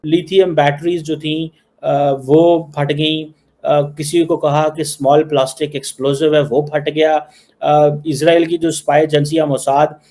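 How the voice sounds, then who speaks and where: A man with a Pakistani accent speaks in a fairly high voice. He gives informal political commentary and leans on repeated phrases to press his point. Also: a little mellow. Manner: emphatic repetition of phrases.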